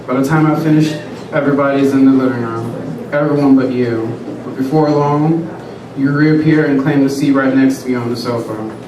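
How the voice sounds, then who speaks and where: A man reading his own first-person prose aloud at a live reading, in a quiet, measured way. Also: medium pitch, fairly even intonation. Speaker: student reader. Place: large room at a live reading. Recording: echoey room, far from the mic, noisy.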